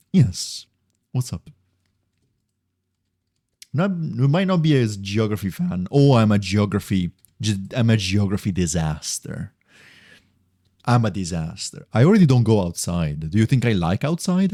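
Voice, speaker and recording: Low, mellow-voiced man streaming. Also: very animated, measured pace, pauses often, no echo, studio quality. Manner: rambling, thinks aloud, repeats himself. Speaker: game streamer. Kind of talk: casual livestream chat while gaming.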